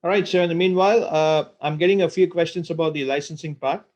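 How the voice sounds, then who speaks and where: A man presenting a software webinar on a computer call, talking with many fillers and repeated words. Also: fairly high pitch, fast, dull audio. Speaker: product presenter.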